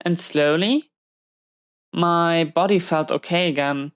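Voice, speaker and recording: A woman playing a battle-scarred prison inmate villain in an intimate audio roleplay, confiding in a halting, reflective, weary way. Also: lowish voice, smooth. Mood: pained recollection. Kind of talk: slow intimate audio roleplay monologue to a listener.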